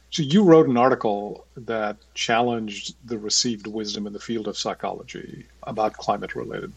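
A man hosting an interview podcast, speaking in a measured, formal way. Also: fairly low pitch, gravelly, breathy edge, dry recording, distant mic, poor audio. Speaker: podcast host interviewing a guest. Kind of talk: podcast interview with scripted introduction.

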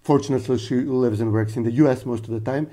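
A man co-hosting a podcast who talks in a halting, earnest way, stopping often on uh and um as he tells a story. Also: medium pitch, fairly even intonation. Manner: halting, full of uh fillers. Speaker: podcast co-host. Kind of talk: casual podcast discussion.

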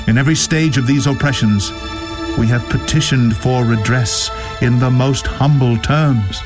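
A man gives a solemn dramatic reading of a historical document in a grave, deliberate, declamatory manner. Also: medium pitch. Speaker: reader. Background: music.

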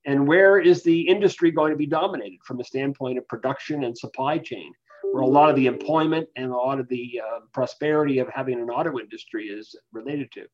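A man with a mid-range voice speaks as an expert interview guest. He explains things in a measured, analytical manner, and the recording is somewhat dull and lo-fi. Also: medium pitch, a little mellow. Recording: dull, lo-fi voice capture.

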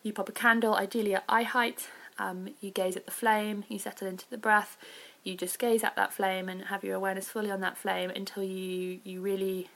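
A woman hosting a podcast as an informal, reflective solo chat, with hedging asides and a creaky voice. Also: mid-range voice, level tone, brisk, few pauses, a bit bright, rough recording. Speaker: podcast host.

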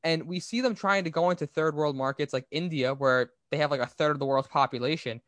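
Male business podcast host, slightly high register, explanatory and opinionated, very dry recording. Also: brisk, fairly bright tone, no echo. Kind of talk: conversational business commentary.

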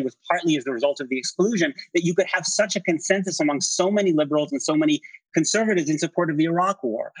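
Husky, higher-voiced middle-aged man debating politics. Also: no echo, rough recording. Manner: insistent, halting with repeated phrases when pressing a point. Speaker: interview guest, political writer.

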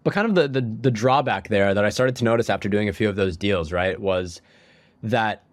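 A man's voice: fairly low pitch, a little fast, no room sound. A young man, a musician guest on a podcast, telling his story in a rambling casual way full of "like" and restarts, a bit sniffly from a cold. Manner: rambling, heavy use of "like", frequent restarts.

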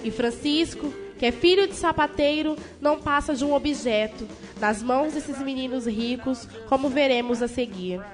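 A woman's voice: high voice, normal speed, hardly any pauses, close to an everyday voice. A woman with a Brazilian accent as the storytelling narrator of a scripted radio drama. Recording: prominent music behind the voice.

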